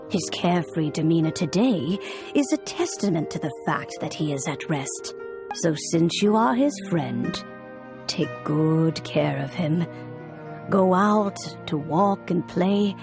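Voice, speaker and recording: A woman voicing a serene, kindly old woman in a video game, speaking in a measured, soothing, old-fashioned way. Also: lowish voice, a little mellow.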